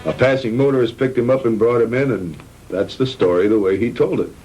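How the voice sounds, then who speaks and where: A man playing a calm, frank middle-aged hospital doctor in a measured, matter-of-fact 1960s B-movie style. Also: medium pitch, faint music behind, close to an everyday voice. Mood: grave, recounting. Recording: noisy old 1960s film soundtrack.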